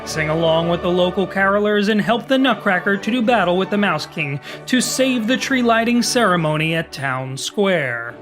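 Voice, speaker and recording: Brief: bright, salesy male ad read, high voice, music bed. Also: lively intonation, normal speed, hardly any pauses. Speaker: ad narrator. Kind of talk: upbeat scripted ad read.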